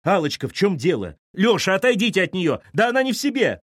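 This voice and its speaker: A man voicing a panicked office colleague shouting for help, in an audiobook reading. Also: sing-song, fast, no room sound, clean studio sound, exaggerated voice. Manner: panicky, shrill.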